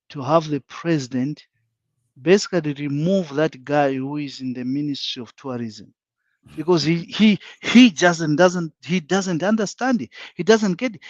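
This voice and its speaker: A man's voice: on the high side, no room sound, highs cut off. A man with a Zambian accent, a panel guest speaking frankly and emphatically in a live online discussion, laying out his points one by one.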